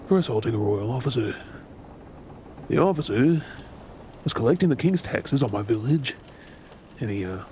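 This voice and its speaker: A man playing a gruff, wounded, bitter yet tender pirate captain in a role-play drama, sardonic and brooding with dramatic pauses. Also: medium pitch, darkish tone, slight background noise, natural voice. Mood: grave, reminiscing. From Australia.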